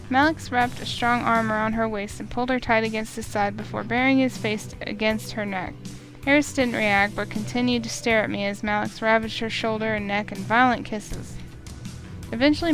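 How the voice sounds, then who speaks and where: A woman reading a visual novel aloud in first person, steady and expressive, with small slips. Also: fairly high pitch, low-quality recording. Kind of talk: first-person story narration.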